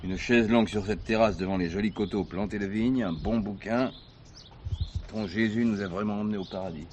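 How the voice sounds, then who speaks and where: A man's voice: lowish voice, a little fast, a little mellow, natural voice. An actor playing an easygoing policeman on holiday, teasing his wife with wry banter in a naturalistic drama. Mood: relaxed, teasing. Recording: dull sound with car noise.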